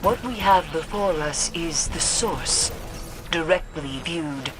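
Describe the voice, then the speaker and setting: Slow, solemn, ominous mystic woman. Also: low-pitched, rough recording. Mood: solemn, scornful. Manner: formal, solemn, cryptic. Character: grave, ominous mystic woman commune-ing with darkness. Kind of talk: dramatic video game acting. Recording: music behind the voice.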